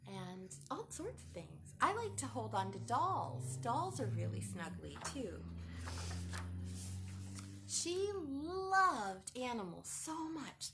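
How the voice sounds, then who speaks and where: Woman, storytime host, slow sing-song delivery, swooping high voice, picture book read aloud. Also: slight background noise. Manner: animated, sing-song teacher voice, asks children questions. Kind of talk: reading a picture book aloud to young children.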